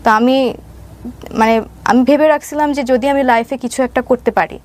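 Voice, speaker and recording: A woman's voice: high voice, moderate pace, darkish tone. A young woman with a Bangladeshi accent telling a personal story as an interview guest, hesitant and leaning on filler phrases. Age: young adult.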